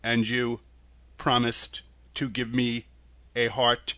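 A man voicing a stern, earnest tin man in a storybook, speaking in a deliberate, measured way. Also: mid-range voice, completely flat delivery, sharp tone, no room sound.

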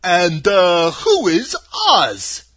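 A man voicing a friendly, mournful, simple-minded scarecrow in a storybook, husky and hesitant, often opening with a drawn-out "uh". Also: high voice, huge pitch swings, fairly bright tone, full character voice. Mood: puzzled, wistful. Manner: hesitant, opens phrases with drawn-out "uh".

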